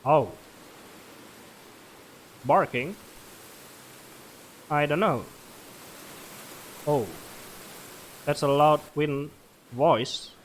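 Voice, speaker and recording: A man doing casual game commentary, reading on-screen text aloud and reacting with short, halting interjections. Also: a little high, a little hiss or hum. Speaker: let's player. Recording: music playing behind the voice. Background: game music.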